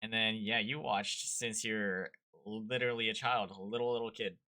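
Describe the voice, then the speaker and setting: Male host, sharp crisp tone, casual and inquisitive interviewer. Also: fairly low pitch, fairly even intonation, normal speed, poor audio. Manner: curious, asks follow-up questions. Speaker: podcast host.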